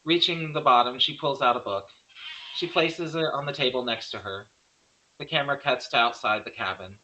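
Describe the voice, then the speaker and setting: Bright-voiced man narrating a horror script. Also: mid-range voice, normal speed, low-quality recording. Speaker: narrator reading stage directions.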